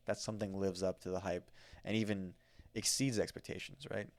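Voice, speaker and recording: An American man talks into a microphone on his own podcast, rambling through a travel story with plenty of fillers and restarts. His voice sits on the low side. The sound is close and dry, with no room echo. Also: level tone, a little fast. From United States. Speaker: podcast host. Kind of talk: casual solo podcast storytelling. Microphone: studio microphone.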